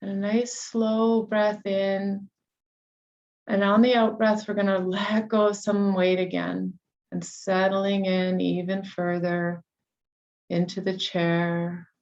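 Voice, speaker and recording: A woman leading a guided meditation, giving soothing, unhurried instructions with long pauses between phrases. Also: medium pitch, little pitch movement, a little mellow, lo-fi sound.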